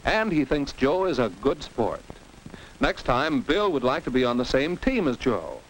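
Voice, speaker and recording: A man's voice: medium pitch, big pitch swings, unhurried. An American man giving scripted, didactic narration for a 1950s educational film for teens, in the manner of a commentator who poses questions and exclaims. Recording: noisy old 1950s film soundtrack.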